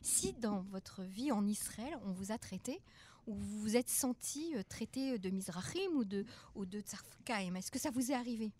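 A woman from France hosting a radio panel, brisk, with short acknowledgements. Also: medium pitch, animated, darkish tone. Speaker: host.

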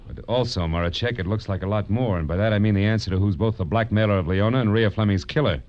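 Man playing a low-voiced hard-boiled American detective. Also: quick pace, darkish tone, no room sound, natural voice. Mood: brash, hurried. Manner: tough, sardonic, punchy delivery. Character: hard-boiled wisecracking male private detective narrating his case. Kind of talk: 1940s-50s radio detective drama acting, clipped noir narration. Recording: dull vintage radio recording with music.

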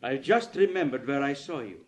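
A stern Soviet agent acted by a man who addresses his underlings with deliberate, condescending authority. His menace is controlled, in the style of a 1950s radio play, on a vintage recording. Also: medium pitch, expressive, very noisy, natural voice. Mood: probing. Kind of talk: 1950s radio drama acting.

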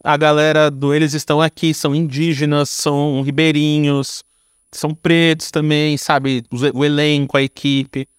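Higher-pitched Brazilian man, dry close sound. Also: flat delivery, moderate pace, clear, resonant tone, no room sound. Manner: informal, slangy, tag questions. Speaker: podcast guest, screenwriter. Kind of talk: casual conversational interview answers.

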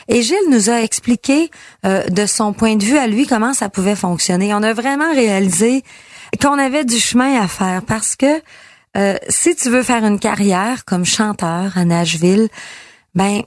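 A middle-aged woman from Quebec with a Québécois French accent, candidly telling her story as a country singer and often pausing on fillers. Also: medium pitch, no echo, clean studio sound. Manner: candid, halting with frequent fillers. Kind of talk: personal storytelling.

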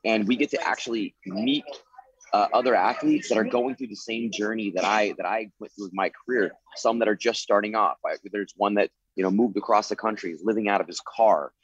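A man's voice: fairly low pitch, fairly quick, highs cut off. A man in his late thirties, a former fighter turned coach, answering interview questions in an earnest way, leaning on "you know" as he talks. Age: 30s. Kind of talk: interview answers, reflective storytelling.

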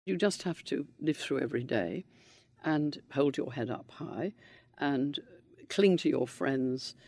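An older man, answering interview questions in a measured, gentle and reflective way. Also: on the high side, creaky, dry recording. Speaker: interviewee, widower of a politician.

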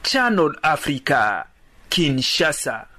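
A man's voice: fairly high pitch, very animated, slow pace, pushed and bright. A man, a radio correspondent, closing a scripted news report.

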